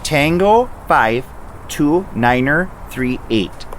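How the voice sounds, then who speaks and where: Male actor as traffic cop: clipped, formal, lively mid pitch, clear outdoor noise behind. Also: takes it slowly, low-quality recording. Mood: businesslike. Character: polite, businesslike male police officer at a traffic stop. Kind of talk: audio drama acting.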